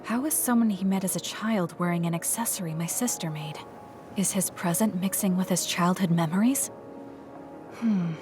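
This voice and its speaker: Woman acting cool, low-pitched young woman soldier. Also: normal speed, over music, lightly acted. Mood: curious, measured. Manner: curt, aloof, dryly probing. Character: cool, blunt young woman soldier protective of her sister. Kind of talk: English video game voice acting.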